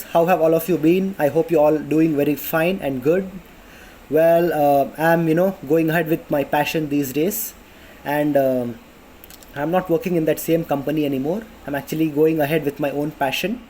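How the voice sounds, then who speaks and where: Chatty young Indian man, mellow voice. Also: fairly high pitch, a little hiss or hum, poor audio. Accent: Indian English. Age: 20s. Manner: chatty, many fillers and restarts. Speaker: vlogger. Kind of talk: casual health tips vlog. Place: apartment in a city under flight paths. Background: planes passing overhead.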